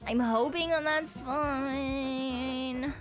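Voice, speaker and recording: A woman's voice: sits high, steady tone, drawn-out words, music in the background. A woman chatting casually on a live stream with a slight frog in her throat. She rambles, swears casually and laughs often.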